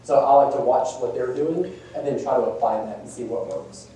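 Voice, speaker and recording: A man's voice: mid-range voice, fairly quick, warm tone, slight background noise. Man presenting in an echoey hall. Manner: casual, conversational, many fillers. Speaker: conference presenter. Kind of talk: presenting a workshop session. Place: large echoey conference room. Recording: echoey room, distant mic.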